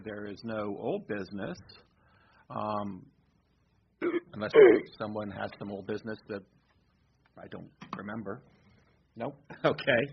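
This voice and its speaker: A man chairing a committee meeting, relaxed and hesitant with frequent ums, recorded in a meeting room. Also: fairly low pitch, vocal fry, slight background noise. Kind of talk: running a meeting. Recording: dull lo-fi recording.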